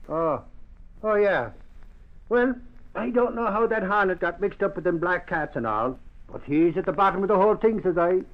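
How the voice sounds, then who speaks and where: A man's voice: high voice, animated, normal speed. An actor playing a blustery, superstitious newspaper man, talkative and folksy, in old-time radio comedy style. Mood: blustery, uneasy. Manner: folksy, blustery, muddles his words. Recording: muffled old radio recording.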